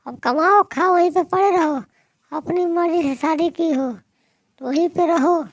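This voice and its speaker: Woman acting a headstrong young daughter, cartoon, very high voice, unhurried, pleading and scolding. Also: no room sound. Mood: aggrieved. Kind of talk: Hindi moral-story cartoon dialogue.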